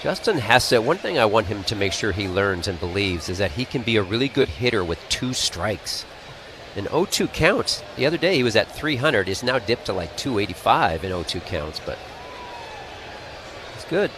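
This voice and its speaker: A man's voice: lowish voice, sing-song, normal speed. An American man giving conversational color analysis during a live baseball broadcast. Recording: clearly noisy live outdoor broadcast.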